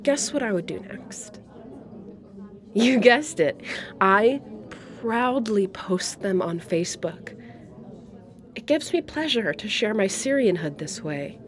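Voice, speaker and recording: A woman's voice: mid-range voice, lively intonation. An actress playing a proud, wistful Syrian refugee woman in her sixties, a retired art teacher chatting reflectively in a dramatic monologue. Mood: wry, proud. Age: 60s. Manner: reflective, conversational, pausing between phrases. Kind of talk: dramatic monologue as intimate coffee shop chat. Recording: coffee shop chatter behind the voice. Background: cafe crowd chatter.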